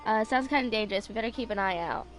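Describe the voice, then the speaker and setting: A woman in her twenties on a casual game livestream, chatting and reading dialogue aloud in a halting, rambling way. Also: mid-range voice, music in the background, low-quality recording. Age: 20s. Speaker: game streamer.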